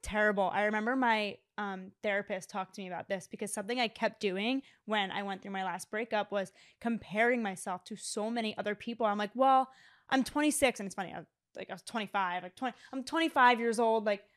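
A woman in her late twenties co-hosting a podcast, talking candidly and earnestly in a casual chat, with frequent "like" fillers. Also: mid-range voice. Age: 20s. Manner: candid, earnest, speaks in quick runs with "like" fillers. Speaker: podcast co-host.

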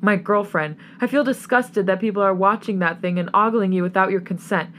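A woman's voice: medium pitch, a little fast, a bit bright, a little hiss or hum, close to an everyday voice. A woman voicing a wounded young man in an audio story, an indignant boyfriend pleading and swearing in exasperation. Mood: hurt, angry.

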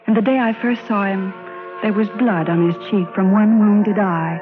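An actress playing an earnest, wistful Civil War army nurse in an old-time radio drama, with dramatic, measured, prim delivery. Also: female voice, mid-range voice, fairly even intonation, over music, natural voice. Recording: old radio recording, thin phone-like band.

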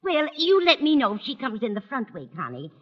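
A woman's voice: high-pitched, huge pitch swings, fairly quick, exaggerated voice. An actress playing an absent-minded, fussy landlady in a vintage radio sitcom, earnest and scatterbrained. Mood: fussing. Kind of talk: 1940s-50s radio sitcom acting. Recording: muffled, reverberant vintage radio recording.

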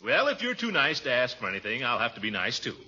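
An American actor playing a jovial, pompous middle-aged uncle in a 1940s radio comedy, theatrical and grandiose. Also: male voice, mid-range voice, huge pitch swings, fast talker, pushed and bright, exaggerated voice. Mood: genial. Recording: muffled, noisy old radio recording.

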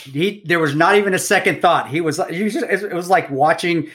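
A man in his fifties recounts memories on a podcast with earnest, halting restarts. His voice sits a little above the usual male range. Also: fairly high pitch, a bit bright. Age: 50s. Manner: earnest storyteller who breaks off and restarts often. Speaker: podcast guest. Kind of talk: casual podcast conversation.

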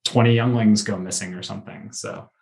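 A man talks as a panelist on a podcast. His voice is on the low side, and his delivery is conversational and casual as he discusses a series. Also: steady tone, a little fast, vocal fry, a little mellow. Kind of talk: casual chat about a TV series.

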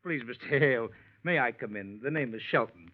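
A man's voice: mid-range voice, fairly quick, no room sound, close to an everyday voice. An eager young schemer talks his way in, fumbling and then persuasive, as a man acts him out. The old radio recording is dry and dull. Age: young adult. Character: eager, scheming young male talent manager. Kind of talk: 1940s radio acting.

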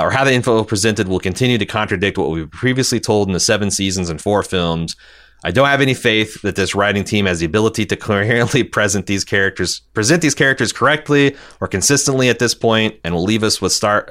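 Podcast host, male, lowish forceful voice, animated and rambling fan talk. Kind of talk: casual fan commentary on TV, reading listener feedback.

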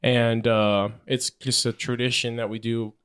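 A man's voice: medium pitch, little pitch movement, measured pace, a bit bright, smooth. A young man hosting a podcast, chatting casually in a rambling way with lots of fillers. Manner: rambling, filler-heavy, self-deprecating. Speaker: podcast host. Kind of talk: casual chat.

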